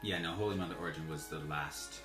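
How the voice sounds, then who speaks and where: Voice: rumbling low, fast, music in the background. A game master narrating a tabletop game in a casual, conversational way. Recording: echoey room with faint background noise.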